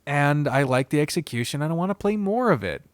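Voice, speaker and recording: A man's voice: fairly high pitch, lively intonation, fairly quick, dry recording. A man hosting a casual, unscripted review video, speaking bluntly and expressively with frequent swearing and rambling asides. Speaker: video host reviewing visual novels.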